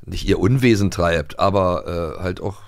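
A man's voice: deep voice, moderate pace, creaky. A man hosting a podcast, chatting casually and eagerly repeating words as he goes. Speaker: podcast host. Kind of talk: casual chat.

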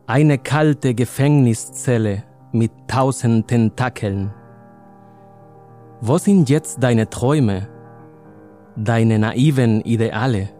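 A man's voice: mid-range voice, warm tone. A man in his thirties from Spain, speaking German with a Spanish accent as his second language, a poet guest in an interview who talks thoughtfully, searches for words and laughs easily. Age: 30s. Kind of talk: interview, reading own poem.

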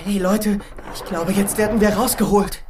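An actress playing a laconic but game little toy figure in a children's radio play. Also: female voice, medium pitch, steady tone, normal speed, background noise, lightly acted. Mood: alert.

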